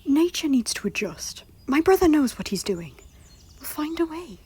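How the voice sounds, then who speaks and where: A woman voicing a gentle, hopeful young noblewoman who speaks softly and tentatively. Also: sits high, sing-song, normal speed. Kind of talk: fan audio drama acting.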